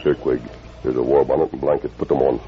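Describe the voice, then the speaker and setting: A man's voice: rumbling low, flat delivery, fast, a crowd in the background. An actor playing a heroic masked western lawman in an old radio adventure, giving firm, clipped commands. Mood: urgent, hushed. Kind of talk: 1940s radio adventure acting. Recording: muffled old radio broadcast recording.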